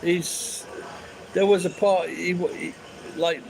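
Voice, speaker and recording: A man's voice: sits high, fairly even intonation, slow, vocal fry, a crowd in the background, poor audio. Sweary ranting Sheffield man. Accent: Sheffield English. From England. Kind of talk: casual street chat.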